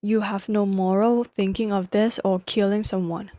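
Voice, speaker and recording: A woman's voice: mid-range voice, steady tone, moderate pace, warm tone, no room sound. A young woman with a Thai accent hosting a solo podcast, explaining a book carefully in non-native English and correcting herself as she goes. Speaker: podcast host.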